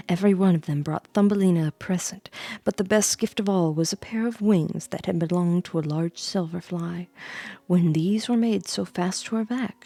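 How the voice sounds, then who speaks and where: A woman streamer reading a bedtime story in a soft ASMR style, close to the microphone, with playful, rambling asides to her viewers. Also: medium pitch, no echo.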